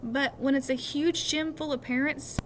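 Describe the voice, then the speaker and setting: A woman's voice: sits high, steady tone, normal speed, slight background noise, rough recording. A woman reading a children's novel aloud expressively, now and then correcting a small slip. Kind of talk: read-aloud storytelling.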